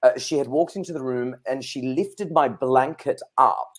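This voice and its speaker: Male, 30s, mid-pitched, chatty guest telling anecdotes. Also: normal speed. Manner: chatty, anecdotal, laughs easily. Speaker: psychic guest. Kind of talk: casual interview chat.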